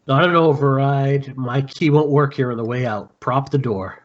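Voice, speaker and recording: A man's voice: medium pitch, normal speed, dull audio, close to an everyday voice. A man playing a stern, commanding squad leader who gives clipped military orders in a script read. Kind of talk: screenplay table read.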